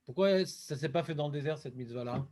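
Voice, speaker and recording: Rabbi, man, high voice, lively questioning teacher, quotes. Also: fast talker. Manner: lively, questioning, quotes Hebrew verses in a chant-like cadence. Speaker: rabbi teaching a Talmud class. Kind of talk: Talmud lesson.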